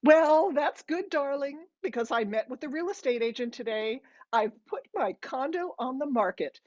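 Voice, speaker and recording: A woman's voice: high-pitched, lively intonation, moderate pace, a little mellow, muffled recording, voice pushed up. A woman narrator voicing a breezy, self-absorbed eighty-year-old mother with a theatrical, insistent manner in an audiobook story. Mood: breezy, pleased.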